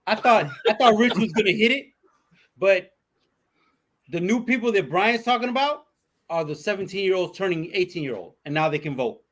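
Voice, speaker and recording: High-voiced man in loose podcast banter. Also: normal speed. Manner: animated, swearing, many restarts. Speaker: podcast co-host. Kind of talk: casual political banter.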